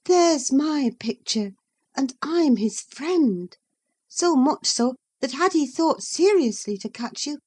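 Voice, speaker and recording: A woman's voice: high-pitched, lively intonation, takes it slowly, darkish tone, pitched above the performer's own voice. A woman voicing a proud, scornful young woman who speaks bluntly and emphatically.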